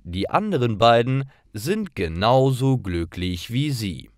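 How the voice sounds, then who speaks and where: A man narrating scripted stories for language learners in a deliberate, clearly enunciated, expressive way. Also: medium pitch, no echo, clean studio sound.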